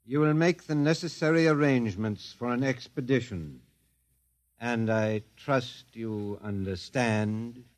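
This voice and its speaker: A man voicing a cautious captain in command of a starship in a vintage radio play. He speaks slowly and deliberately, in a mid-range voice, with calm authority. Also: mid-range voice, close to an everyday voice. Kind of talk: 1950s radio drama acting.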